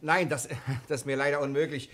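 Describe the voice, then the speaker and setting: An actor playing a quick-witted, evasive male ship passenger in a 1960 German radio play. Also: medium pitch, steady tone, crowd noise, natural voice. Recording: noisy old radio play recording.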